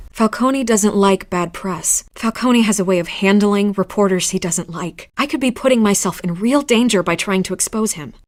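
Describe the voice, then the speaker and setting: A woman playing a savvy, skeptical newspaper reporter in a video game, speaking in a wry, measured, natural way. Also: medium pitch, no room sound, clean studio sound, close to an everyday voice. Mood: wary, wry.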